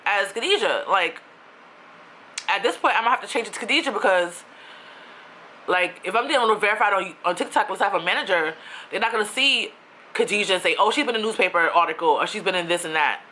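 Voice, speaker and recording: A woman's voice: medium pitch, fast, slight background noise, rough recording. Chatty young woman from New York. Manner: chatty, animated, with slang and asides. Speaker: YouTuber. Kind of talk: casual ranting vlog.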